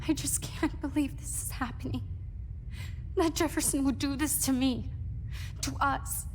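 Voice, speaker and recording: Very high-pitched, tearful, terrified teen girl. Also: fairly even intonation, measured pace, a little mellow. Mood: despairing, subdued. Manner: tearful, faltering, pleading. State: crying. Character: terrified, helpless eighteen-year-old female student. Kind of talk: emotional video game voice acting. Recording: echoing reverberant space, background noise.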